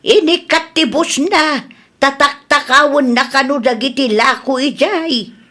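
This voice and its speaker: A woman's voice: high voice, unhurried, pushed and bright. A woman playing a stern, suspicious female authority figure with an Ilocano Filipino accent, questioning bluntly in a radio drama.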